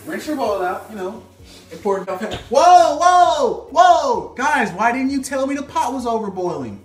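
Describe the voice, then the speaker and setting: A man's voice: very high-pitched, very animated, moderate pace, soft background music. A young man hosting a mock-serious home cooking video, playing the expert and joking with his viewers while he moves around the stove. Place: home kitchen, indoors. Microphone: phone camera on a stand, several feet away. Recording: echoey kitchen.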